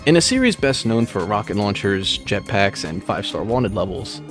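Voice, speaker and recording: A man reading a scripted video essay in a dry, wry, measured way. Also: on the low side, very animated. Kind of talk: scripted narration. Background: background music.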